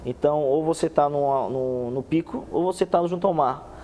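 A man's voice: a little high, in a large hall, a little hiss or hum. A young man speaking as a guest in a TV studio interview, explaining with many restarts, on a lapel mic. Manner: fast, animated explaining with many restarts and hand gestures. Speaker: guest researcher. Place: TV studio set.

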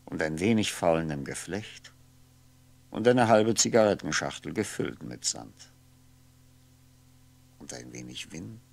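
A man's voice: low voice, lively intonation, creaky, a little hiss or hum, full character voice. An actor playing a lonely, weary ageing man musing wryly to himself in a 1960s German radio play. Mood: tired, reflective. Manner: brooding, halting, musing to himself. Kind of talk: 1960s German radio drama monologue, introspective.

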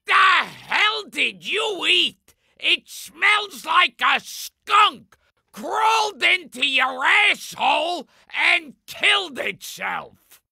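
A woman's voice: very animated, vocal fry, dry recording, clean studio sound, heavily acted. Woman as piercing, shrieking cartoon woman. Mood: disgusted. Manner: shrill, drawn-out yelling. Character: disgusted, shrieking, foul-mouthed cartoon woman.

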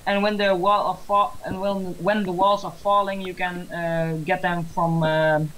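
A man chatting casually while gaming with a friend, halting with many fillers in broken English. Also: high-pitched, level tone, fairly bright tone, rough recording. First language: Dutch. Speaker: co-host gamer. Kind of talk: casual gameplay chat. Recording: faint background noise, mouse clicks.

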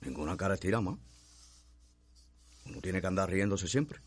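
A humble young Cuban servant in a radio drama. His voice is deep, his speech folksy and guarded, with a rural accent. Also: lively intonation, moderate pace.

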